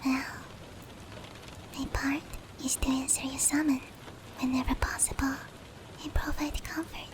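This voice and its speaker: A woman voicing a gentle, protective female demon in a soothing, breathy whispered ASMR roleplay, slow and comforting. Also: sits high, level tone, low-quality recording. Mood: gently explaining. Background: rain.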